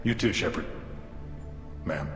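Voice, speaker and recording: A man's voice: rumbling low, animated, slow pace, exaggerated voice. A man playing a respectful, dryly humorous alien marine in a video game. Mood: matter-of-fact. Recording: echoey hall reverb, faint music.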